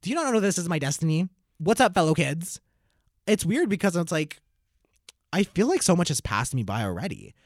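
A nineteen-year-old man hosting his own podcast, talking alone in an animated, theatrical way with sudden loud bursts of play-acting. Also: on the high side, brisk, no room sound, studio quality. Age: 19, nearly 20. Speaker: podcast host. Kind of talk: solo podcast monologue.

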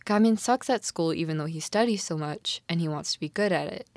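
A woman's voice: on the low side, a little fast, darkish tone, no room sound. A young woman with a Canadian accent co-hosting a casual podcast chat, talking in an informal, slangy, emphatic way. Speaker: podcast co-host. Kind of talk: casual chat discussing a TV drama.

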